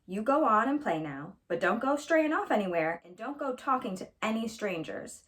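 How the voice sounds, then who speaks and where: Woman as a storybook grandmother, protective, scolding, folksy, lively intonation. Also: fairly high pitch, normal speed. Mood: firm, fond. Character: fussing, protective Southern country grandmother.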